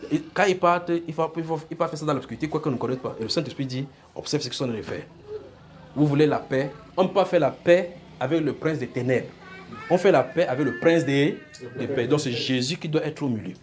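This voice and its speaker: A man's voice: fairly high pitch, fast, darkish tone. A man with a Francophone African accent preaching prophetic visions off the cuff, repeating phrases and checking that his listeners follow. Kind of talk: extempore prophetic sermon to a congregation.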